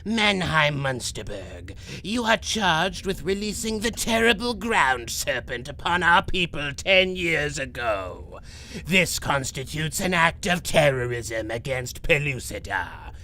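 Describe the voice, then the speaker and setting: Pompous mole-man court crier, forceful edge, slow ceremonial delivery, adventure radio play, faint rumble behind. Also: very animated, full character voice. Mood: stern, solemn. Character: grandiose mole-man court bailiff, solemnly proclaiming. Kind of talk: adventure audio drama acting.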